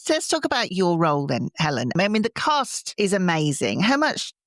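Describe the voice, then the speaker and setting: A woman's voice: on the low side, very animated, moderate pace, no echo. Female interviewer in a dry studio. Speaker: interviewer, podcast host. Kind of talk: conversational interviewing.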